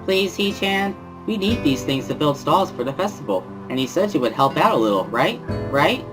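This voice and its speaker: A man acts out a bubbly teenage schoolgirl from a visual novel. The voice sits high and pushes loud, exclamatory and teasing, with music playing behind it. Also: big pitch swings, normal speed, rough recording. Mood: pleading, cheerful. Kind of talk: dramatic reading of a visual novel.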